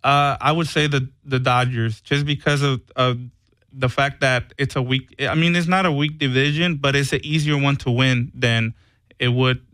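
Smooth-voiced sports radio co-host, hesitant. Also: male voice, medium pitch, steady tone, normal speed, fairly bright tone, no room sound. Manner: hesitant, many fillers and repeated words. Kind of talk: casual sports talk.

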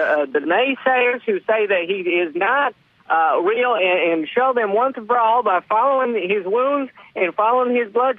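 Rambling high-voiced man on the phone. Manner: rambling, halting, excitable. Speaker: caller. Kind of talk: comedic call-in. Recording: dry tinny phone line.

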